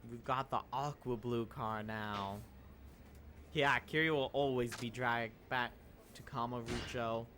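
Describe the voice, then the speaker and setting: A man's voice: medium pitch, fairly even intonation, measured pace, bright, forceful tone, slight background noise. Man chatting casually over a game. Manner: eager, thinking aloud. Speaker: streamer. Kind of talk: casual gameplay commentary.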